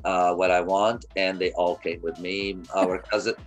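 A man's voice: sits low, little pitch movement, moderate pace, soft background music. A man in his fifties, from Kuwait, telling his life story as an interview guest, earnest and often restarting his sentences. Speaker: guest, media company founder.

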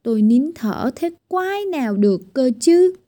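A woman's voice: sits high, sing-song, normal speed, mellow, pitched above the performer's own voice. A woman voicing a vain, restless tiny boy in a children's storybook, talking cheeky and boastful.

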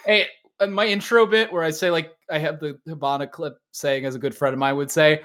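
A man's voice: a little high, fairly even intonation, fairly quick, a bit bright. A man hosting a podcast, chatting casually and prompting his guest in an informal, encouraging way. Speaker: podcast host.

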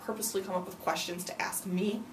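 A woman's voice: mid-range voice, fairly even intonation, quick pace, roomy sound, far from the mic, slight background noise. A young woman in her twenties, a student teacher, answering interview questions earnestly with frequent ums. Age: 20s.

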